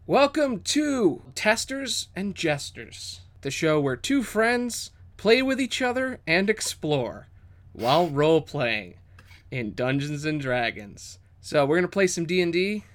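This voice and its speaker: A man's voice: a little high, big pitch swings, takes it slowly, a bit bright. A man hosting as game master, narrating a tabletop role-playing game in a casual but dramatic storytelling manner. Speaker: game master and show host.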